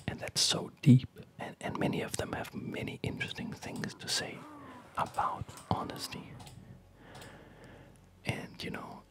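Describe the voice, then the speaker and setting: A man whispering in a hesitant, halting, reflective way, as in a rambling ASMR video. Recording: music behind the whisper. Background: music.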